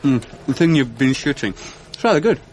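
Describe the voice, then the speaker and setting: An actor playing a mild, self-deprecating young academic with a British accent in a radio drama, diffident and drily understated. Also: male voice, mid-range voice, lively intonation, a little fast, darkish tone, slight background noise, natural voice, low-quality recording. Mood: dry, offhand.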